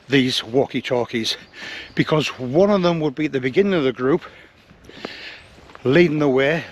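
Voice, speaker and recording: Middle-aged man, noisy casual gear review. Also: medium pitch. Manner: plain-spoken, explanatory. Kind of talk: casual product review to camera. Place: forest trail outdoors, and small room indoors.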